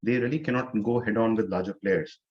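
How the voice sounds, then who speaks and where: A man, a startup co-founder answering interview questions in a measured way, often saying "you know". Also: fairly low pitch, highs cut off. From India.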